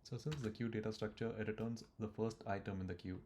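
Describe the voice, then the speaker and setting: A man's voice: on the low side, fairly even intonation, quick pace, darkish tone, slight background noise. A man explaining code step by step as he types it, thinking aloud with fillers and restarts. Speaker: coding tutor. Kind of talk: screen-recorded coding walkthrough.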